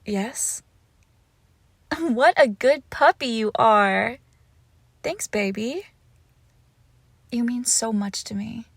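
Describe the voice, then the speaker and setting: A woman playing a lovesick, possessive and lonely witch in an intimate ASMR roleplay, speaking softly and breathily and often slipping into tender whispers. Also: fairly high pitch, slow pace, long pauses, breathy edge, no room sound, exaggerated voice. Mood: adoring, smitten.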